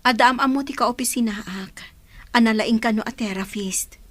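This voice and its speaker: A woman's voice: a little high, moderate pace, fairly bright tone. A woman playing a supportive, hopeful grown daughter in an audio drama. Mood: hopeful, encouraging.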